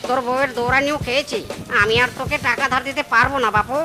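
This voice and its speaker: A woman plays an excitable male buddy in a comic cartoon, his voice on the high side. He is easily exasperated. Music plays behind. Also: very fast, close to an everyday voice. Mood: fed up.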